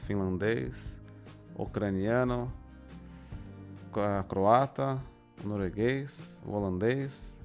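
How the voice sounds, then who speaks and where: Low-voiced Brazilian man, slow and thoughtful. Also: darkish tone. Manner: thoughtful, deliberately slow, with pauses. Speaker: guest, polyglot. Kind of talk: casual interview.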